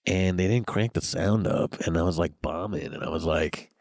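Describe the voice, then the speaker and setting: A man with a very deep, gravelly voice chats in a laid-back, low-key way as a comedian guest. He sits in a parked car right up on the mic, so the sound is close and dry. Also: normal speed, no room sound. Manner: laid-back, low-key, self-described non-yeller. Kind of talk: casual chat.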